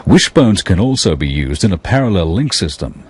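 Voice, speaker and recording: A man giving scripted technical narration in a measured, explanatory way. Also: deep voice, big pitch swings, husky.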